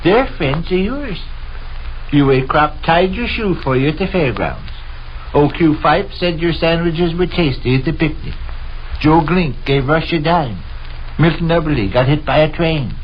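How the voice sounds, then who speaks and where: An actor playing a pompous, deadpan American family man in an old-time radio comedy, speaking deliberately as if reading a list. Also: fairly high pitch, natural voice. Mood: pompous, matter-of-fact. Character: Vic, pompous deadpan family man listing odd cronies. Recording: dull, noisy old radio recording.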